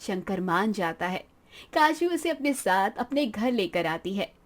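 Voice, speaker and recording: A woman's voice: medium pitch, big pitch swings, measured pace. A woman reading scripted narration for a moral story as its narrator.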